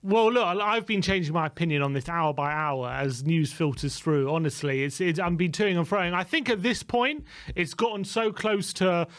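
A man's voice: high voice, moderate pace, hardly any pauses, a bit bright. A man hosting a football fan show, explaining things earnestly.

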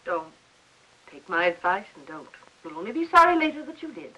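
An actress playing a chatty, fussy, well-meaning middle-aged aunt in a 1950s Hollywood melodrama. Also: female voice, on the low side, big pitch swings, brisk, pushed and bright, slightly rough, exaggerated voice, low-quality recording. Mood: concerned, firm. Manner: talkative, fussing, gossipy. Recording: old 1950s film soundtrack.

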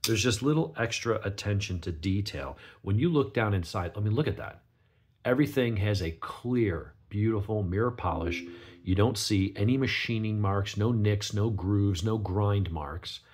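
Male reviewer, low husky gravelly voice, stuffed up with a cold, talking casually and off the cuff. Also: normal speed, a little mellow. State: sniffly with a cold. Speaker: knife reviewer, channel host. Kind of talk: casual unscripted product review.

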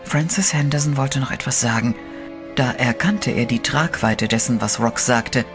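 A man narrates a horror radio play in a steady, dramatic manner. His voice sits in a middle range, and loud music runs beneath the telling. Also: medium pitch, moderate pace.

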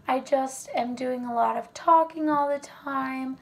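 A hoarse woman chatting casually through a product review vlog in her home kitchen, recorded on a phone camera set on the table. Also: high voice, a little slow. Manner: chatty, relaxed, many fillers. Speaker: wax melt reviewer.

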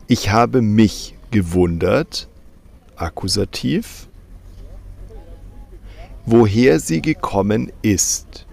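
A man teaching German grammar in a slow scripted voice-over, reading example sentences and repeating them. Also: fairly low pitch, vocal fry, darkish tone.

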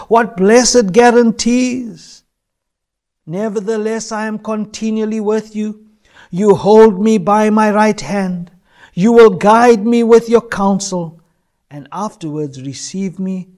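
A man's voice: sits high, slow pace, darkish tone. An older man, a pastor, preaching and reading scripture in an emphatic, exhorting manner with repeated phrases. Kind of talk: fervent sermon with scripture reading. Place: small church room, indoors, at a lectern.

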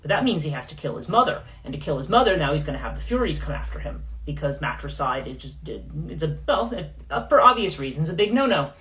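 A middle-aged woman hosting a casual livestream in her living room, rambling with many fillers and restarts, her voice going hoarse. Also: low voice, big pitch swings, a little fast, few pauses, fairly bright tone. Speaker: podcast host. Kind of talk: casual livestream talk on myth.

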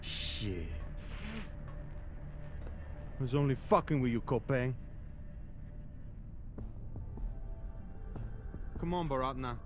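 A man's voice: medium pitch, fairly quick, music in the background, natural voice. An actor playing a foul-mouthed, aggressive and resentful spaceship crewman in a video game, with a brash, taunting manner. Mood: backing down. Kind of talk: video game cutscene acting.

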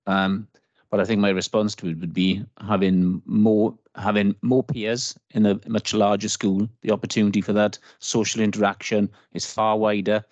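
A man answering questions at a council meeting, measured and hesitant with fillers. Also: on the low side, a little mellow, dry recording. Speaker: council officer. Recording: dry, dull video call audio.

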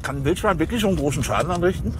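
A man playing an officious, blustering and slightly slow-witted police officer in a radio play. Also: a little high, expressive, noisy background, natural voice.

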